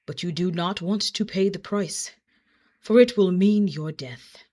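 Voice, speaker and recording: A woman voicing a great storybook wizard, grave and deliberate, with a solemn manner. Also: on the low side, mellow. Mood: grave warning. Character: great old-tale wizard, grave and reluctant.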